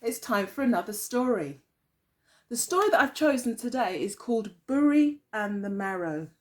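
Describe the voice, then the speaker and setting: A woman's voice: on the high side, expressive, normal speed. A woman teacher telling a story expressively to young children, phrasing carefully and putting questions to them. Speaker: early years teacher, storyteller.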